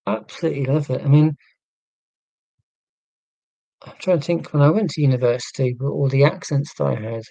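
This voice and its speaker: A middle-aged man with a neutral southern English accent, talking slowly and deliberately in a casual, reflective monologue. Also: fairly high pitch, fairly even intonation, creaky, dark tone, no echo, low-quality recording. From Scotland, raised in England. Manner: slow, deliberate speech with pauses. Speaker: vlogger.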